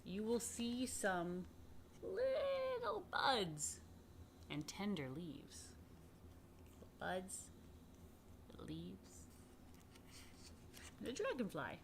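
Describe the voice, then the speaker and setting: A woman reading a picture book aloud to children, expressively and unhurriedly, with dramatic pauses and chatty asides. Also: medium pitch, big pitch swings, creaky, noisy background. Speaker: rabbi reading a children's story. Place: indoors.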